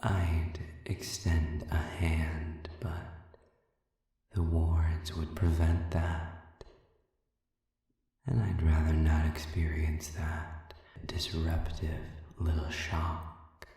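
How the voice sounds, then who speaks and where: Guy doing a sadistic demon prisoner for audio rp, super low dark voice, mock polite, big echoey reverb. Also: flat delivery, exaggerated voice. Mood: silky menace. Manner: slow, silky, formal, mock-courteous with breathy whispered phrasing. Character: sadistic, silver-tongued male demon prisoner, coolly menacing. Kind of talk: scripted audio roleplay addressed to the listener. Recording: very echoey, cavernous reverb, distant.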